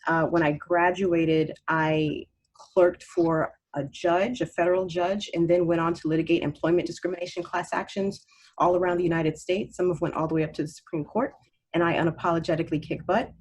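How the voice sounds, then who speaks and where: A woman's voice: fairly low pitch, little pitch movement, darkish tone, husky, rough recording. Assertive woman state representative. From Haiti, raised in Miami. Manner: confident, brisk, assertive. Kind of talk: candidate forum interview. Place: video call.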